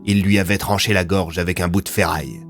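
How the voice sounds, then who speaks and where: Man, low-pitched voice, scripted story narration, faint music bed. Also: fairly even intonation, quick pace, fairly bright tone. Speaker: author and narrator. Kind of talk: scripted narration of audio fiction.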